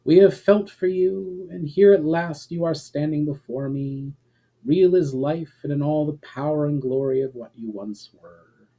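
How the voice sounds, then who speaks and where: A man voicing a proud, calm female elf leader in a fantasy storybook, with stately, measured and formal speech. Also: a little high, pitched above the performer's own voice, low-quality recording. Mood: wistful, serene.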